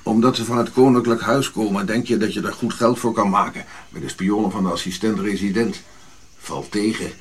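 An irritable, haughty old prince, low-voiced. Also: male voice, fairly even intonation, fairly quick, slightly rough. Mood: scornful. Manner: grumbling, scolding, given to reminiscing. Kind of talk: radio drama acting.

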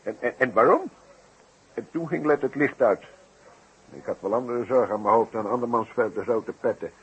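An actor playing a flustered, droll eyewitness in a 1960s radio play, halting, repeating words and exaggerating floridly. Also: male voice, medium pitch, a bit bright, slightly rough. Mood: earnest, puzzled. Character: flustered, droll male eyewitness recounting his mishap. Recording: muffled, noisy vintage radio recording.

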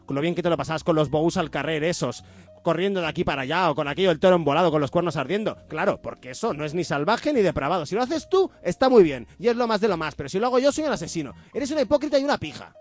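A man's voice: a little high, lively intonation, fast talker. A man with an accent from Spain playing Spain personified as a defensive, party-loving spouse in a comic radio soap, with exasperated, sarcastic colloquial retorts. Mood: sarcastic, accusing. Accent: Spanish, from Spain.

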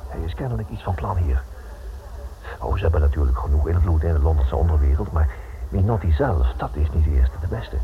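An actor playing a polite, uneasy gentleman in a Dutch radio play, speaking in a guarded and hesitant manner. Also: very deep voice, lively intonation, a little fast. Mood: concerned. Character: reserved, uneasy Englishman, polite married gentleman. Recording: phone-like, faintly noisy old radio recording.

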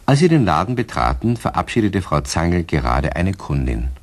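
A man's voice: low voice, very animated, normal speed. A man narrating a children's radio play as a scripted storyteller. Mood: storytelling.

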